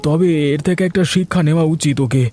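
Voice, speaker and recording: A man's voice: fairly high pitch, a little fast, mellow, close to an everyday voice. Man acting concerned, earnest cartoon village fisherman. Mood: earnest, admonishing. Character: concerned, sensible village fisherman, advising neighbour. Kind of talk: Bengali cartoon folk-tale voice acting. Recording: music and rain behind the voice.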